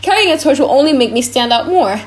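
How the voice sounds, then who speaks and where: A woman's voice: high voice, expressive, quick pace, pitched above the performer's own voice. Shy young woman in love, acted by a woman. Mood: embarrassed. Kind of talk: audiobook drama reading.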